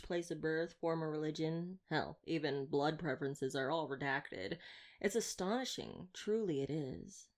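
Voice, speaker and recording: Woman as flirtatious female vampire hunter, lowish and very animated, wordy and playfully theatrical, for roleplay audio. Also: vocal fry. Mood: curious, flustered. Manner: wordy, playful, teasing, mock-grand delivery. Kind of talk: ASMR roleplay audio drama addressed to listener.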